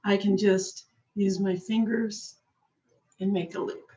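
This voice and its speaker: Slow, patient woman teaching a craft. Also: mid-range voice, little pitch movement, mellow, dull audio. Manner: patient, chatty, talks while working with her hands. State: slightly hoarse. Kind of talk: slow step-by-step craft demonstration.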